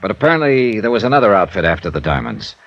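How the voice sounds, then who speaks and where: An actor playing a tough, suspicious American investigator in an old-time radio drama, with a clipped, wry, interrogating manner. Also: male voice, fairly low pitch, big pitch swings, quick pace. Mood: probing, matter-of-fact. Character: tough, suspicious, sardonic male insurance investigator. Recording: dull, band-limited old radio recording.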